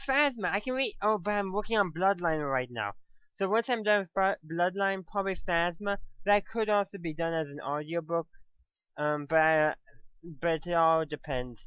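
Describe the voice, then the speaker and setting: Rambling man with a higher-pitched voice. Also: smooth, dry recording, lo-fi sound. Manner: halting, often backtracking and rewording mid-sentence. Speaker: book reviewer. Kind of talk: casual, rambling spoken review.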